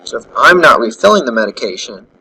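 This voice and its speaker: A man's voice: medium pitch, big pitch swings, fast, rough recording. A man narrating a casual, step-by-step screen tutorial as an instructor, thinking aloud as he demonstrates. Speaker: instructor, software trainer. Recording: bright recording with faint background noise.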